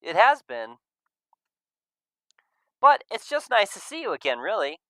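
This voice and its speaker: A man voicing an upbeat, warm and sarcastic young male furry character in a visual novel, with animated delivery. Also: high voice, a little slow, dry recording, pitched above the performer's own voice, heavily acted. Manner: animated, exclamatory.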